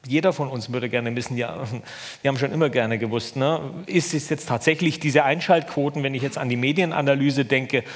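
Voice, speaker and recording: A man's voice: medium pitch, brisk. A man in his fifties answering questions unscripted as a conference speaker, hesitant and repeating words for emphasis. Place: large hall with high ceiling. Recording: echoey large hall.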